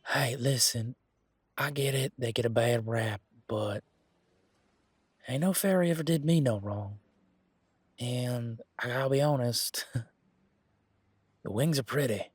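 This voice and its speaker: A man playing an easygoing, teasing country-boy werewolf bodyguard in a roleplay audio drama, talking in a folksy, informal way with drawn-out hesitations. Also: mid-range voice, husky, dry recording. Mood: playfully defensive. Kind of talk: roleplay audio drama, one-sided conversation.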